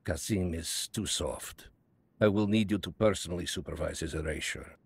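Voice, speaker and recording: An actor playing a calm, ruthless Soviet spymaster, speaking slowly and with cold confidence. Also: male voice, low voice, creaky, husky, exaggerated voice. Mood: cold, commanding. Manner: slow, measured, coldly confident.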